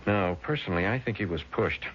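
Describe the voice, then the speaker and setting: Man acting a low-voiced, shrewd young attorney. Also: brisk, fairly bright tone, slight background noise, lightly acted. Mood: blunt, insistent. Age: young adult. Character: confident, shrewd young male attorney, probing and blunt. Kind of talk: 1970s radio drama acting. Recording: dull vintage radio recording with music.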